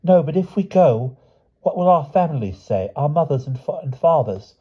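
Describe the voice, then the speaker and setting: A man reads as an earnest young schoolgirl from a storybook, her voice lifted high. She pleads urgently and tries to persuade, on a slightly dull recording. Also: animated, moderate pace, husky. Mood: anxious pleading.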